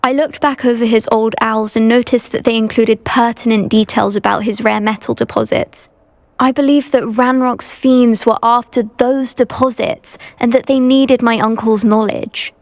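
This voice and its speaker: Woman doing anxious british teen witch girl for a game, polite n earnest, bit high, creaky edge, dry close sound. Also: fairly even intonation, normal speed, darkish tone, no room sound, lightly acted. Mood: grateful, uneasy. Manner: earnest, well-spoken. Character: anxious, polite teenage schoolgirl witch devoted to her uncle. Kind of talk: video game dialogue acting.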